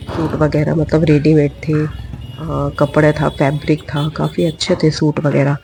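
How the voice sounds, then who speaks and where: A hoarse woman vlogger chatting casually in a voice-over about a shopping trip, repeating phrases for emphasis. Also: deep voice, level tone, a little slow, mellow, a little hiss or hum, poor audio.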